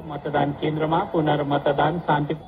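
A man's voice: a little high, monotone, moderate pace, over loud music. A man reading the news formally, heard through an AM radio receiver. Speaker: news reader. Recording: tinny, hissy AM radio reception.

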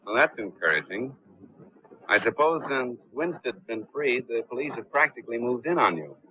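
An actor playing a cool, suave gentleman sleuth in a 1930s film, speaking smoothly and politely on a tinny soundtrack. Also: medium pitch, lively intonation, normal speed, a little hiss or hum, natural voice. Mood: easy, composed.

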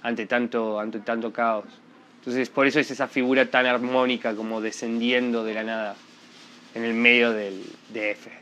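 A man's voice: mid-range voice, little pitch movement, fairly quick, sharp tone, a little hiss or hum. A man giving a casual, off-the-cuff interview answer as an artist. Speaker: mural artist being interviewed.

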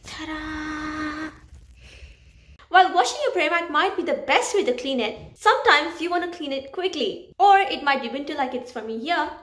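A young woman vlogging a cleaning tutorial to a camera held at arm's length, chatty and instructive, with quiet asides while she works. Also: sits high, expressive, moderate pace, echoey room. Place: small carpeted room indoors.

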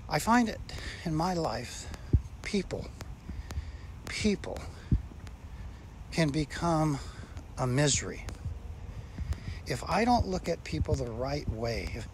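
An older man, a pastor, preaching earnestly and slowly on a walk outdoors, filmed on a phone held at arm's length. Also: fairly high pitch. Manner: slow, earnest preaching with drawn-out phrases. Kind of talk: outdoor devotional walk-and-talk. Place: outdoors in bright sun. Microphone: phone held low at arm's length. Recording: phone recording outdoors with background noise.

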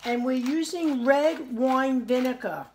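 A woman's voice: high voice, takes it slowly, a little mellow, a little hiss or hum. A woman in her forties talks through a recipe in her own kitchen, chatty and homey, recorded on a counter camera. Faint clatter of dishes and utensils sits behind her voice. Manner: chatty, homey, tasting and praising the food as she goes. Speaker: home cooking show host. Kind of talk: casual cooking demonstration. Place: home kitchen, indoors. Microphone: camera on the counter at arm's length.

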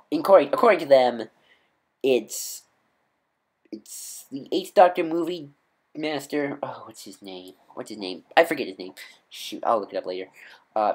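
A man with a nasal voice giving casual, off-the-cuff fan commentary as a vlogger, rambling and halting as he corrects himself. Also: lowish voice, darkish tone. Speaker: YouTube vlogger and podcaster.

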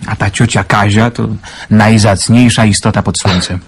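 A man's voice: on the low side, fairly even intonation, normal speed, noisy background, lightly acted. Hubert, a man playing a hesitant resistance man. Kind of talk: radio play acting.

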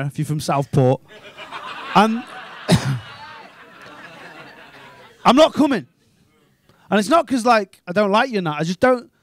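Man in his thirties, high-voiced stand-up, crude and incredulous, live in a small comedy club on a handheld mic. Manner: animated, crude, ranting and incredulous.

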